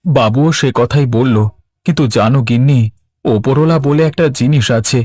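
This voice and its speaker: A man playing a pious, long-suffering fisherman in a cartoon, measured and resigned. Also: mid-range voice, darkish tone, no room sound, studio quality, close to an everyday voice. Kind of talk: Bengali cartoon dub.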